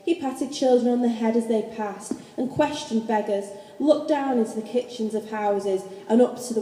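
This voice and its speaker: Casting: female storyteller for a radio play, slightly high, very soft dark tone, recorded in a reverberant space. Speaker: narrator. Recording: echoey hall, long reverb.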